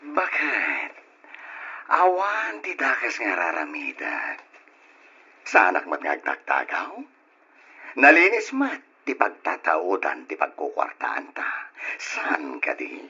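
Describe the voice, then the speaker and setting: An actor playing a greedy, brash moneylender husband who gloats and chuckles in an old radio drama, speaking with an Ilocano accent. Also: male voice, low voice, huge pitch swings, slow, long pauses, bright, forceful tone, husky, full character voice. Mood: smug. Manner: gloating, swaggering, often chuckling. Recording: muffled, noisy old radio drama recording.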